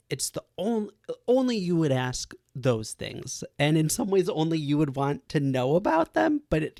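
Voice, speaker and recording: A man with a mid-pitched voice hosts a casual podcast interview. He speaks effusively and admiringly to his guest. The sound is dry, with very little room to it. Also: a little mellow, no room sound.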